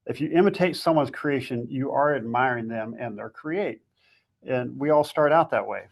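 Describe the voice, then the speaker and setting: A man with a gravelly, husky voice giving conversational advice as a guest author, in a plain-spoken way with short, emphatic summings-up. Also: mid-range voice, normal speed.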